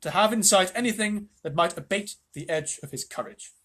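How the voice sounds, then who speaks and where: Storybook role, voiced by a reader: young male monarch, measured, firm, formal, slightly husky. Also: medium pitch, fairly bright tone, voice pushed up, lightly acted. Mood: grave, decisive. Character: young high king, grave, fair, steady male.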